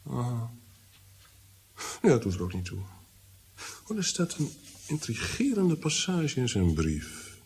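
A man playing a melancholic, commanding nobleman in a period radio drama, with a husky voice and an abrupt, probing manner. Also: medium pitch, wildly swooping pitch, a little slow, pauses often, a little mellow, heavily acted. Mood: imperious, probing.

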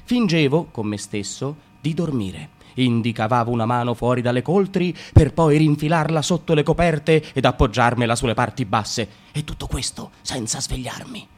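An actor playing a young man who tells a comic tale of his awkward youth, shifting between hushed asides and frantic bursts. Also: medium pitch, moderate pace, few pauses, close to an everyday voice. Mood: wry. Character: young man recalling a clumsy adolescence. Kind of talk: radio play narration.

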